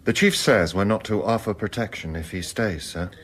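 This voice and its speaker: An actor playing a dutiful, questioning police subordinate in a radio crime drama, deferential and gently dry. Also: male voice, on the low side, normal speed.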